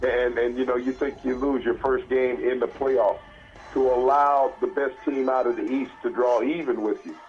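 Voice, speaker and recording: A man's voice: mid-range voice, steady tone, moderate pace, muffled recording, faint music behind. A man from the American South co-hosting a sports talk show in a folksy way, often stumbling over his words. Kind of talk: casual sports talk radio banter.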